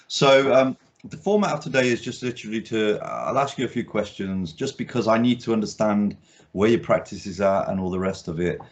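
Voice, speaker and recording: A man hosting a casual interview as an art critic, talking in a rambling way with many ums and restarts. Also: low-pitched. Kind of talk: casual video-call interview. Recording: dull lo-fi sound.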